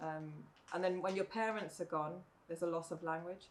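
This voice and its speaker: A middle-aged woman, a mother talking reflectively in a casual podcast chat, often pausing with "um" and "you know". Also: on the low side, steady tone. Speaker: guest, mother of the other guest. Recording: faint background noise.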